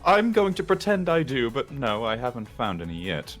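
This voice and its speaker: A man's voice: mid-range voice, huge pitch swings, normal speed, fairly bright tone. A man playing a sly, self-assured prosecutor in a game fan dub. His mid-range voice moves expressively, dry and composed in manner, with music playing behind him. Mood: smug, dry. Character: composed, sly male prosecutor, dryly confident. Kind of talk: fan dub voice acting with improvised banter.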